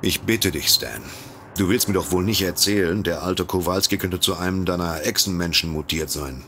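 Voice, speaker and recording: An actor playing a dry, skeptical detective in a comedic audio drama, speaking in a measured, sardonic way. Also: male voice, deep voice, pushed and bright, slight background noise. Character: dry, skeptical male detective, the sensible partner.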